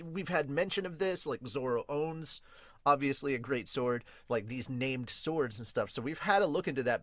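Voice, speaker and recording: A man hosting a podcast with casual, rambling review commentary, excitable and sweary. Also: on the high side, no echo, studio quality. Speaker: podcast host and manga reviewer.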